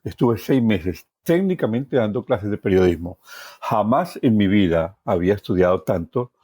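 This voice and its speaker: A man's voice: on the low side, animated, normal speed, no room sound, clean studio sound. A middle-aged man with a Colombian accent tells his life story reflectively, repeating words for emphasis and ending phrases with little tag questions. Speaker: journalist telling his life story as podcast guest. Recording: soft plucked guitar music behind.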